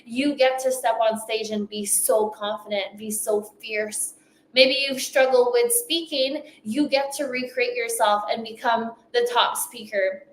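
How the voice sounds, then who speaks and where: French-accented woman giving a motivational talk, highish voice, echoey room. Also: steady tone, unhurried. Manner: emphatic, repeating phrases for effect. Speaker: summit speaker, coach. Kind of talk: motivational speech.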